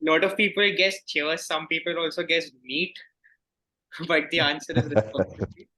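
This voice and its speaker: Male quiz host, bright forceful tone, fairly high pitch, courteous and formal delivery of questions. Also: normal speed, poor audio. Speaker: quizmaster. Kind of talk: reading quiz questions aloud.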